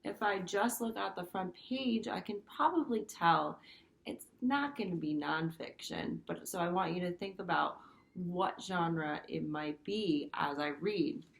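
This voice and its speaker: A woman teacher reading a picture book aloud to children, expressive and pausing to explain things simply. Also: lowish voice, gravelly. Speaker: primary school teacher. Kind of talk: read-aloud to children with commentary.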